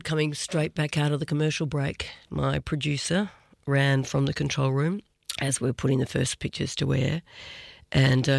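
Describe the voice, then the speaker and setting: An Australian woman, a veteran TV news presenter, recounting events in a vivid, measured way, slowing down for emphasis. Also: a bass voice, gravelly, dry recording, studio quality. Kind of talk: reflective interview answers.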